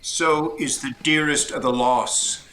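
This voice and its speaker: A despairing, weary king played by a man speaks slowly in a slightly high voice. The Shakespearean audio drama recording is echoey and noisy. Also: fairly even intonation, pushed and bright. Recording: echoey room, noisy remote microphone.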